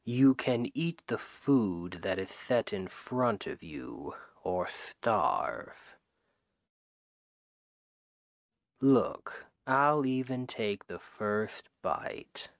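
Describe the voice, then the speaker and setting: A man playing a suave, menacing, possessive demon king who woos his captive with slow, deliberate, softly condescending speech in an ASMR roleplay, close on the microphone. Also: fairly low pitch, big pitch swings, dry recording, exaggerated voice. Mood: smug menace. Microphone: close microphone, dry.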